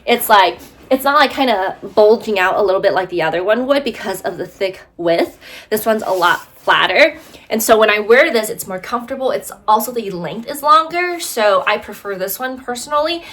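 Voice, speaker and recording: Chatty woman with a lively voice. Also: medium pitch, moderate pace. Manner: chatty, lots of fillers and "right?". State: congested from allergies. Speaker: fashion vlogger. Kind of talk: casual product review.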